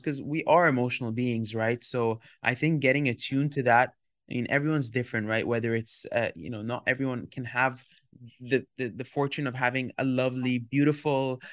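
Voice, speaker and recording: A man's voice: medium pitch, dry recording. Male podcast host, dry close mic, chatty rambling questions full of repeats. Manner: warm, rambling, stacked repeated words and fillers. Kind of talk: casual interview hosting.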